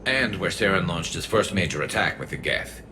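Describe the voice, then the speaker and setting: A man voicing a wry, battle-seasoned alien in a video game, measured and gravelly, with a metallic filter. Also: low-pitched, lively intonation, noisy background. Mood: wry, reflective. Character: battle-seasoned alien male ex-investigator, dry and loyal.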